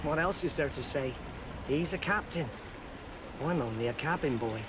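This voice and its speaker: Man voicing high-voiced young cabin boy, noisy harbour. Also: expressive, moderate pace, lightly acted. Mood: guarded, respectful. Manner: deferential, matter-of-fact. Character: young deferential ship's cabin boy, guarded, plain-spoken. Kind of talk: video game dialogue acting. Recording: noisy outdoor harbour ambience.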